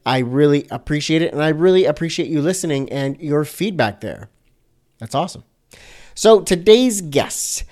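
Guy hosting a design podcast, kinda high voice, goofy and jokey, super casual. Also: expressive, moderate pace, darkish tone. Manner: playful and jokey, self-deprecating asides. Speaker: podcast host. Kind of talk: casual interview, upbeat show intro.

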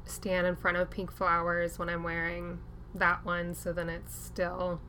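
A young woman hosting a podcast interview, talking in a casual, relaxed way and filling her speech with "like". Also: on the low side, a little slow, slight background noise, poor audio. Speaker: podcast host.

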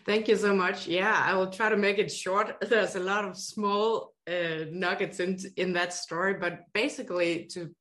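A woman's voice: mid-range voice, fairly even intonation, moderate pace, few pauses, fairly bright tone. A middle-aged woman from Denmark with a Danish accent, explaining her work earnestly as a podcast guest. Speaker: guest, education consultant. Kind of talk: podcast interview.

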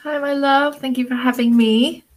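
A woman's voice: high voice, steady tone, moderate pace. A British woman who works as a women's mentor, talking as a podcast guest in a reflective, rambling way, thinking aloud with fillers. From British, raised partly abroad. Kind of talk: casual interview chat. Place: farm home in a village.

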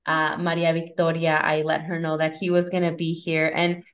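A woman's voice: low voice, completely flat delivery, moderate pace. A woman hosting a podcast interview, friendly and enthusiastic, talking casually with fillers. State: mother with her son nearby. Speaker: podcast host.

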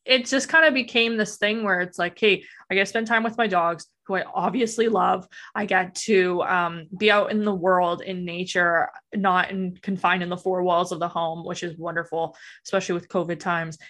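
A woman's voice: fairly low pitch, steady tone, moderate pace, hardly any pauses, fairly bright tone. A woman from Alberta with a Western Canadian accent, chatting informally and ramblingly as a podcast guest. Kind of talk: casual interview chat.

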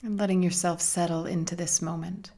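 A woman leading a guided meditation in a soft, gentle, soothing manner with long pauses and breathy phrase endings. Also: fairly low pitch, fairly even intonation.

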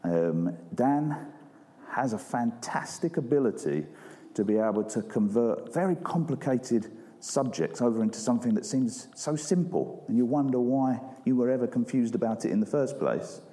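A man's voice: medium pitch, expressive, moderate pace, warm tone. A man hosting an event, introducing a speaker with frequent hesitations. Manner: hesitant, with many ums and uhs. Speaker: event host. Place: large room with live audience. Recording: long hall reverb.

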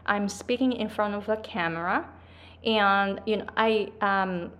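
A woman's voice: mid-range voice, measured pace, fairly bright tone. A woman with a Japanese accent, a native Japanese speaker and English coach, giving chatty business advice to camera and often checking in with "right?". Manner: chatty, repeats "right?" and "like", self-corrections.

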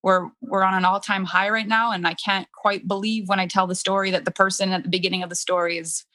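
A woman in her thirties with a French Canadian accent, whose first language is French, talks through her story with candid animation. Her voice sits at a middle pitch and keeps a loose, conversational flow, with "like" scattered through. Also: level tone, fast talker, pushed and bright. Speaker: business coach. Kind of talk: casual interview chat.

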